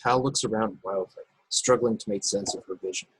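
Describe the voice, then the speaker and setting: A man's voice: lowish voice, fairly quick, a little hiss or hum, rough recording. A man narrating screenplay stage directions in a table read over a video call.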